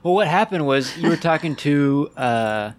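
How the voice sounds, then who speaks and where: Relaxed co-host, dry close sound. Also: medium pitch, normal speed, no echo. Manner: relaxed, fills in details and finishes partner's sentences. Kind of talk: casual chat.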